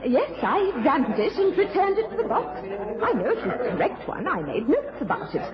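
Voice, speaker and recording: Composed, well-mannered lady journalist, period radio drama; high, very expressive voice, slightly muffled recording. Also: a little fast, a loud crowd. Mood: composed, defensive. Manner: well-mannered, formal, earnest. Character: polite, composed young lady journalist under suspicion. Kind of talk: British period radio drama acting and narration.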